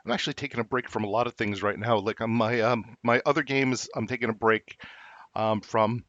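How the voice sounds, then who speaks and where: A middle-aged man co-hosting a podcast in casual chat, rambling with many halting restarts and fillers. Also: mid-range voice, fairly even intonation, fairly bright tone, no room sound. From New Jersey. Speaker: podcast co-host. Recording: dull lo-fi dry recording.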